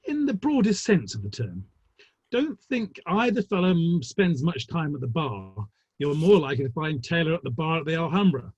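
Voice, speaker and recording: An actor playing a gossipy, urbane Victorian theatre gentleman with a dry, arch manner and a husky voice, in an online play reading. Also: a little high, big pitch swings, moderate pace. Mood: wry gossip. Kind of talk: dramatic play reading over the internet. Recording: muffled, roomy online call audio.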